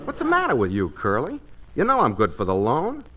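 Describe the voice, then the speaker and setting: An actor playing a brash, pushy, wheedling freeloader friend in 1940s radio comedy, indignant in manner. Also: male voice, medium pitch, huge pitch swings, a little fast, highs cut off, slight background noise. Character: brash, fast-talking, wheedling freeloader pal.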